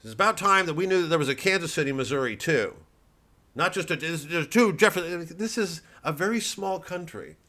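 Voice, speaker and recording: A man playing a boastful male campaigning politician in a booming stump-speech delivery. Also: animated, fairly quick, sharp tone.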